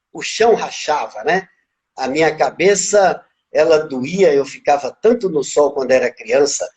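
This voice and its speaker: A relaxed man in his fifties with a faint Bahian accent, speaking in a rather high voice. He reflects on his past as an interview guest, unhurried and conversational. He often tags his thoughts with little questions to the listener. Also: rough recording. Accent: Bahian Portuguese. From Brazil, born in Minas Gerais, raised in Bahia. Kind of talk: casual life-story interview.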